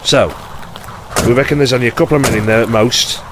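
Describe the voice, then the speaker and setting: An actor playing a blunt, harried 1960s police detective in an audio drama, with an English accent and an exasperated, colloquial manner. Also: male voice, medium pitch, fast talker, background noise, lightly acted. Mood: brisk, exasperated.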